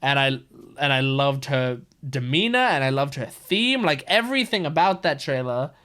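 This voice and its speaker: A man's voice: mid-range voice, very animated, moderate pace, bright, forceful tone. A man hosting a reaction video, giving casual, gushing and enthusiastic commentary.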